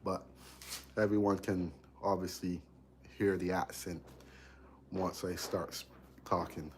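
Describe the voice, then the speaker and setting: A man's voice: low voice, slow pace, slight background noise. A man with a Barbadian accent chatting casually on a live stream while he works in a cold basement electrical room, trailing off with fillers as he concentrates. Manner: relaxed, drifting off mid-sentence with fillers while busy. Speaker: electrician livestreaming his work.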